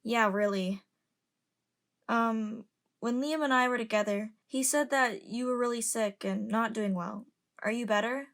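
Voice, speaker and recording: A woman's voice: on the high side, unhurried, a bit bright. A woman voicing a casual, slightly awkward young passenger in an amateur audio drama.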